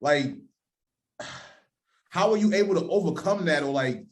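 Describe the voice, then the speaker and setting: A man's voice: fairly high pitch, expressive, a little slow. A man hosts a casual interview. He asks questions conversationally, in informal slang. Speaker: podcast interviewer.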